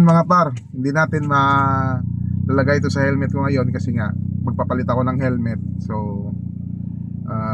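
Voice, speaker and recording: Filipino man vlogging casually over clear noise. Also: mid-range voice. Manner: informal and halting, searching for words. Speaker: motovlogger host. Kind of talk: casual unboxing chat. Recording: clearly noisy, vehicle and ambient noise.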